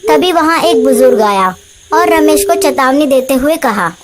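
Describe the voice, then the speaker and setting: A woman's voice: high voice, animated, normal speed, a loud crowd. A woman reading scripted narration for a horror story, as the narrator.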